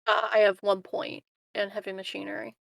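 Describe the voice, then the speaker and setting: A woman's voice: mid-range voice, flat delivery, a little fast, creaky, a bit bright, no room sound. A woman chatting casually in an understated way as a player in a tabletop game.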